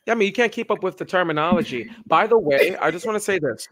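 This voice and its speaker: A young man co-hosting a casual political chat, talking in an animated way and acting out the people he quotes. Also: fast talker. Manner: animated, acts out quoted voices with pitch jumps. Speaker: podcast co-host.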